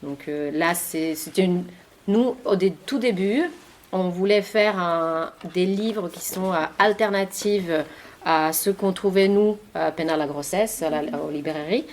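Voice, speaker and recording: A woman's voice: lowish voice, unhurried, a little mellow, a little hiss or hum. A woman in her forties from Finland with a Finnish accent, speaking French as a second language, answering questions at a library talk with many fillers and restarts. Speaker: interviewed author and journalist. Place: library meeting room.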